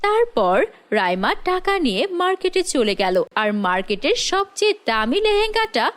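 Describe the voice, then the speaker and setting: A woman's voice: high voice, sing-song, moderate pace, fairly bright tone. A woman narrates a scripted children's story. She serves as the storyteller of a cartoon tale, linking the scenes.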